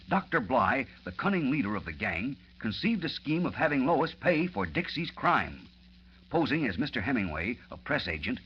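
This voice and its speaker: Dramatic, swooping male radio announcer. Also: mid-range voice. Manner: urgent, theatrical. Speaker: announcer-narrator. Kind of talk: dramatic 1940s radio serial narration. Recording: muffled old radio recording, faint noise.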